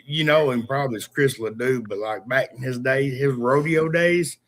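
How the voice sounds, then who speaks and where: A man's voice: mid-range voice, normal speed. A man in his late forties chatting casually with friends, swearing freely and talking with enthusiasm. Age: 40s. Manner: gravelly, swearing, enthusiastic about country music. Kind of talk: casual chat.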